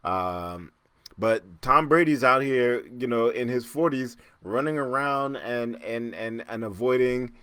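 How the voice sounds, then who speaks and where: A man's voice: medium pitch, a little slow, a bit bright, clean tone, no echo. A man in his fifties hosting a casual solo podcast, rambling and self-mocking with frequent restarts and chuckles. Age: 50s. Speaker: podcast host.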